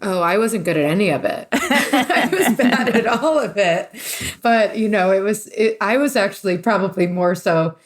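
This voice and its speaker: A woman's voice: medium pitch, expressive, moderate pace. A woman from the US East Coast chatting casually as an interview guest, talkative and self-deprecating. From US East Coast, New Jersey and New York. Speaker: interview guest, renovation business partner.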